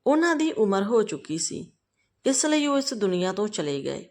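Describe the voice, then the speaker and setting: A woman's voice: medium pitch, very animated, moderate pace, a little mellow. Callous, bossy grown son, voiced by a woman. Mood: coldly chiding.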